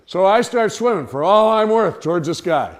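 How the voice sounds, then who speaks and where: A man telling a humorous personal anecdote to listeners in an animated, theatrical way. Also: high-pitched, moderate pace. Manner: animated, theatrical storytelling with acted-out cries and dialogue. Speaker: storyteller, speaker to an audience.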